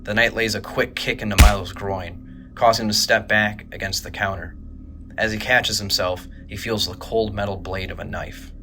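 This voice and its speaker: A man narrating a scripted horror audio drama in a measured, grave manner. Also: fairly low pitch, fairly even intonation, noisy background.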